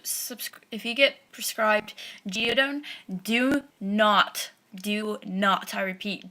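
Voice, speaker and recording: A woman's voice: mid-range voice, bright, forceful tone. A young woman vlogging about her own experience in a casual, frank way, with a stutter and a speech impediment, using lots of fillers and swearing. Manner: stutters and repeats words, many fillers, frank and profane. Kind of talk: casual personal vlog.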